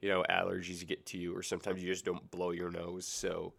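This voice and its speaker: Low creaky young man with a stuffy nose. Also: completely flat delivery, a little fast, a bit bright. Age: around 20. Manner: rambling, goes off on tangents, self-correcting, joking sarcasm. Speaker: podcast host. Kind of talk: casual solo podcast chat. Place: small basement room.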